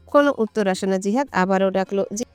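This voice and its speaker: A woman narrates a scripted romance. Her voice is smooth and medium-pitched, recorded dry and close. Faint music plays behind her. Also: brisk, a little mellow, no echo. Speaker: storyteller.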